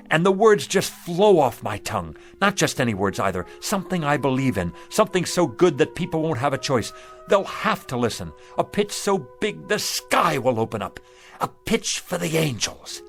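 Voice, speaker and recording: A man's voice: medium pitch, lightly acted. A humble, down-on-his-luck sidewalk salesman acted by a man in a radio drama. His folksy, earnest speech rises and falls with a lot of expression, and there is a sly streak in him. Faint music plays underneath. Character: down-on-his-luck sidewalk pitchman, humble, wistful, slyly wily.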